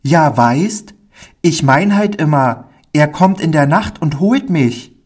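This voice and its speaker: A man plays a small, timid village boy in a storybook. The voice is pushed up high and sounds naive, anxious and eager, with a lilt and unhurried childlike phrasing. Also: animated, darkish tone. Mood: fearful, naive. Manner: anxious, naive, dialect-tinged childlike speech.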